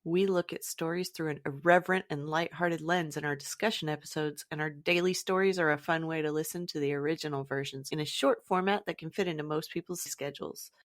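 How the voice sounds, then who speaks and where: A woman's voice: low-pitched, fairly even intonation, a little fast, hardly any pauses, dry recording, studio quality. A woman podcast host reading a scripted show introduction. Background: music.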